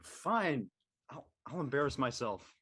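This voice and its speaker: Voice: mid-range voice, sing-song, measured pace, fairly bright tone, slightly rough, exaggerated voice. An actor playing a brash, slangy, easily exasperated teenage basketball player in a scripted drama. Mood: reluctant. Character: cocky teenage boy high school basketball player.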